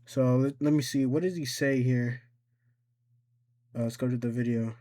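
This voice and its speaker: A man's voice: mid-range voice, steady tone, moderate pace, warm tone. A man hosting an informal, improvised step-by-step screen tutorial, thinking aloud as he goes. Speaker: tutorial host.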